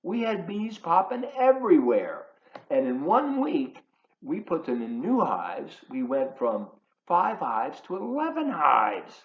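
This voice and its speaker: A man reading a children's book aloud in an expressive, emphatic, teacherly way, adding chatty asides for his young listeners. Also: fairly high pitch, slow. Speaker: volunteer children's storybook reader. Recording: roomy echo, slightly dull sound.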